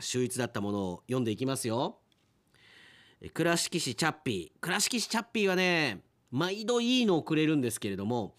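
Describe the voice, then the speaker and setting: A male radio announcer in relaxed after-show mode. His pitch moves around widely and expressively as he reads out mail and adds chatty remarks of his own. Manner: chatty, commenting on the stories he reads. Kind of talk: casual podcast after-talk, reading listener mail.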